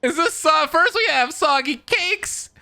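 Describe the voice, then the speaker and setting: A woman's voice: sits high, lively intonation, unhurried, creaky, bright, forceful tone. A woman doing casual, joking reaction commentary over a video game, talking in loose slang. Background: game music.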